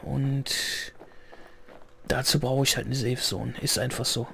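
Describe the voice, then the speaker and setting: A man with a slightly hoarse voice giving rambling, chatty commentary while playing a game, clearing his throat now and then. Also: medium pitch, fairly even intonation, unhurried, sharp tone, slight background noise. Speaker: let's play gamer. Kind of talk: casual gameplay commentary.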